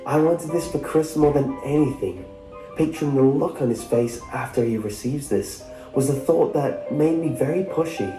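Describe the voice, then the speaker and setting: Man, scripted story narration, medium pitch, echoing room, prominent background music.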